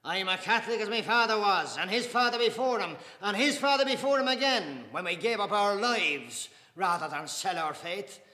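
A man's voice: high-pitched, lively intonation, normal speed, hardly any pauses, sharp tone, voice pushed up. A man voicing a proud, hot-tempered middle-aged guest who declaims with heated emphasis, with an echoey room and a long reverb.

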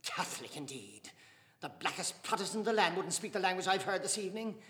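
A proud, fiery guest declaiming at the table, played by a male reader. His voice is bright and rises and falls with heat. An echoey room with a long reverb surrounds him. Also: high voice, expressive, a little fast, pitched above the performer's own voice. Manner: declaiming, heated and emphatic. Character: proud, hot-tempered middle-aged Irish guest.

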